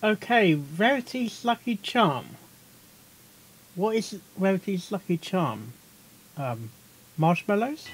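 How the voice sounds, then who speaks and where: Man giving animated, rambling reaction commentary. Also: high voice, slow, long pauses, husky, poor audio. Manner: rambling, chuckling, muttered interjections. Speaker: reaction video host. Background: music.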